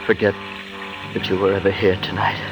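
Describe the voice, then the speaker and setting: An actor playing a wounded, dying gentleman in a vintage radio drama, gentle, courtly and weakly halting. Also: low-pitched, little pitch movement, lightly acted. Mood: weak, solemn. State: weak, faltering from injury, as acted. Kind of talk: 1940s radio acting. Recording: vintage radio drama, loud music behind.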